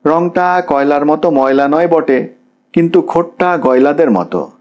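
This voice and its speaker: A picky, outspoken young woman in an audiobook story, acted by a man. The voice sits higher, with a witty, frank and mocking delivery. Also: lively intonation, moderate pace, a little mellow, natural voice. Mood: mocking, dismissive.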